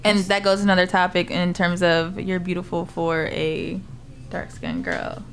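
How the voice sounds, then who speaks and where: Earnest woman guest, slightly low voice. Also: flat delivery, measured pace. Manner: earnest, emphatic affirmations. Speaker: podcast guest. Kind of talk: casual chat.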